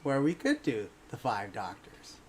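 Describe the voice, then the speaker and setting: A woman's voice: a bass voice, wildly swooping pitch, unhurried, darkish tone, husky. A woman casually hosting a fan panel on a livestream.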